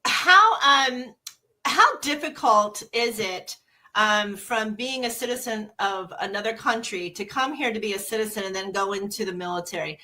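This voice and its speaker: A woman co-hosting a casual talk show, lively and playful, asking the guest questions. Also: mid-range voice, moderate pace. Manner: lively, laughing, playful interjections. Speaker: co-host. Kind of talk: casual podcast chat.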